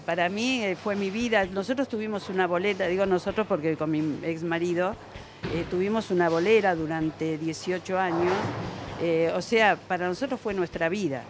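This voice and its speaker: A woman in her seventies with an Argentine accent answers interview questions conversationally, in a reflective, explanatory manner. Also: on the low side. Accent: Argentine Spanish. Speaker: veteran bowler being interviewed. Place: indoor bowling alley. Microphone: handheld interviewer's microphone. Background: music.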